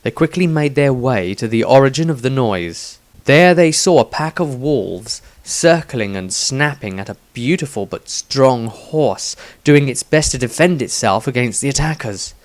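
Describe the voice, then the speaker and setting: A man narrates a fantasy adventure as a storyteller reading from a script. His voice sits at a middling pitch, and his delivery is dramatic, suited to spoken-word fiction. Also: animated, measured pace, hardly any pauses. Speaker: author reading own story.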